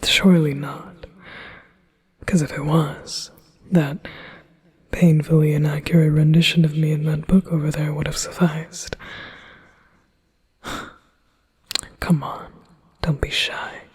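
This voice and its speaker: A man voicing a flattering, possessive male demon in a soft, intimate ASMR whisper. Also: on the high side, slow, long pauses, husky, full character voice. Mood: coaxing.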